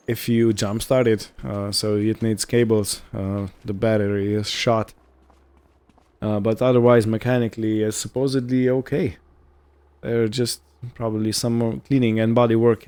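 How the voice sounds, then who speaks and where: Male game roleplay host, mellow and fairly low, casual improvised narration delivered in short halting phrases. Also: clean tone. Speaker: host of a roleplay gaming series.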